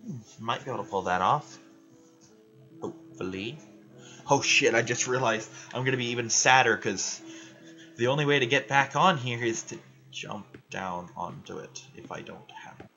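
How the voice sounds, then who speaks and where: A young man ranting loudly and swearing in casual chat while he plays a video game. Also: medium pitch, moderate pace, vocal fry, highs cut off, faint music behind. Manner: loud, ranting, frequent swearing. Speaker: gaming vlogger.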